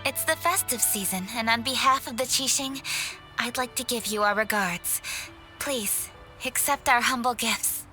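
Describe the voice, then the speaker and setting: An actress voices a brisk young woman official, formal and composed. Her voice sits fairly high. Soft game music plays behind. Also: natural voice. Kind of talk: game cutscene voice acting.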